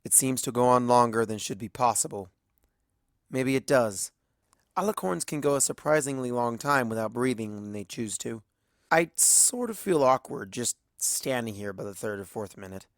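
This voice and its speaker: A man's voice: mid-range voice, lively intonation, normal speed, no room sound. A male narrator reads a piece of fiction in a mid-range voice. The sound is dry and close, with the delivery of scripted storytelling.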